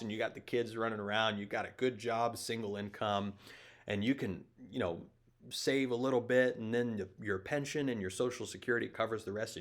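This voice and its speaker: A man's voice: lowish voice, fairly bright tone. A man in his thirties hosting his own show, talking in a casual, rambling, emphatic monologue. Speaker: show host.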